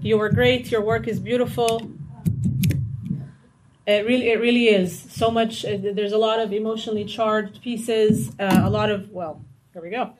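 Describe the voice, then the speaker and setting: A woman's voice: medium pitch, steady tone, moderate pace, background noise. Encouraging woman hosting a poetry night. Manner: warm, encouraging, rambling. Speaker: event host. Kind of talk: introducing performers at a poetry night.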